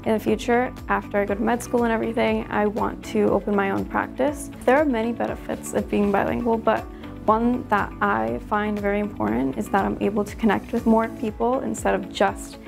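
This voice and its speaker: A woman's voice: on the high side, steady tone, a little fast, gravelly, music in the background. A young woman, a student, speaking earnestly in an interview. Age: young adult.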